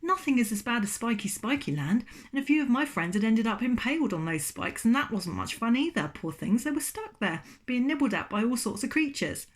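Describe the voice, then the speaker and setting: A woman's voice: fairly high pitch, big pitch swings, a little fast, hardly any pauses, voice pushed up. A woman voicing a fearless small pink marshmallow in a storybook tale, speaking in a breezy, unbothered way. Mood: earnest.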